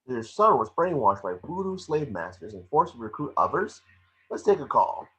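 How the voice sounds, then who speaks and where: A man's voice: medium pitch, sing-song, moderate pace, fairly bright tone, slightly rough, heavily acted, low-quality recording. A man playing an earnest tabloid talk show host in a table read, with a dramatic presenter's delivery. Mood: exasperated.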